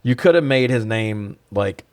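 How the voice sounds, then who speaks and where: Male podcast co-host, low voice, dry close sound, wry and rambling, loose film chat with swearing. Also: measured pace, no echo. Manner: informal, wry, rambling asides. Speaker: podcast co-host reviewing films.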